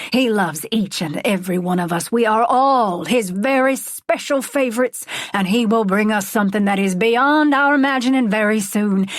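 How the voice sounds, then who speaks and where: A woman voicing a folksy, charismatic male megachurch preacher, preaching with drawn-out words and dramatic pauses. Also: a little high, no room sound, clean studio sound, lightly acted. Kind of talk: audiobook character voice.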